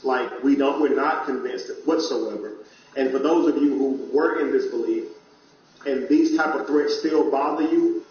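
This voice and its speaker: A man's voice: medium pitch, dull audio. Distant echoey man hosting a stream. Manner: earnest, conversational, addresses viewers as "guys". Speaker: show host. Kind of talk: online live-stream talk show.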